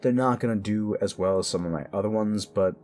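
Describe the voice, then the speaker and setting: A man with a low voice talks casually to his listeners. He is chatty and upbeat, like a creator giving an update, with music playing behind him. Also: a little fast, darkish tone. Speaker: audio story creator.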